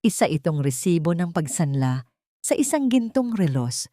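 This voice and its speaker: A woman's voice: fairly low pitch, big pitch swings, normal speed, warm tone, no echo, clean studio sound. A woman narrating a scripted dramatic story in a solemn, emotive storytelling manner.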